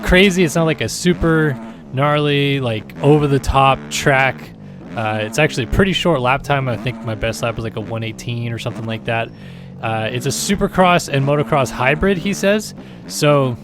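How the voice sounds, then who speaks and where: A man hosting a gaming channel, talking through gameplay in a casual, rambling way, his voice raspy and tired. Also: medium pitch. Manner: rambling, filler-heavy, easygoing.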